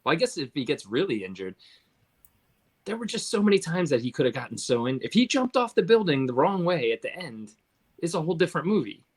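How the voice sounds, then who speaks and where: A man's voice: on the high side, big pitch swings, a little fast, a little mellow. A man talking casually and colloquially as one of a group of film review panelists.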